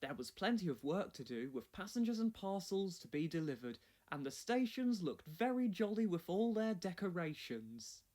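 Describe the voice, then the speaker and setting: Casting: male children's read-along narrator, high pitch, lively melodic delivery, scripted storytelling. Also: normal speed, hardly any pauses.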